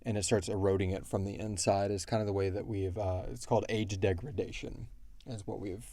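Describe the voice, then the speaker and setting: A man's voice: lowish voice, level tone, fairly quick, warm tone. A man chatting casually as he explains story lore in a rambling way, like a creator answering listeners. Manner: rambling, quotes characters in brief asides.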